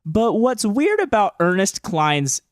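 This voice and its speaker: A man's voice: high voice, huge pitch swings, slow, dry recording, studio quality. A man co-hosting a podcast with casual comedic commentary, ranting in a sarcastic, sweary way. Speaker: podcast co-host.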